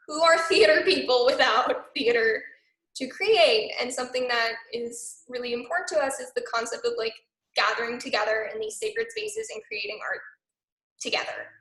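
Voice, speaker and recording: A woman's voice: a little high, fairly bright tone, reverberant, poor audio. A young woman and arts program director who talks casually with many fillers. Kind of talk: casual online talk.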